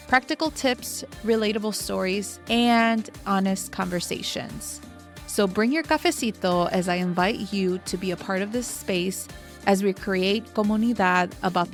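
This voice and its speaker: A woman in her thirties hosting a podcast in an upbeat way, mixing Spanish words into her English. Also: mid-range voice. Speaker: podcast host. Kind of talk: podcast hosting with scripted intro.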